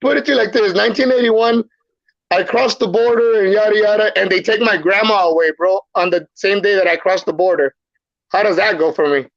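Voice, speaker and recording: A man's voice: sits high, fairly quick, creaky. A man from El Salvador, a podcast guest telling his life story casually, using street slang and repeating phrases. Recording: muffled lo-fi sound.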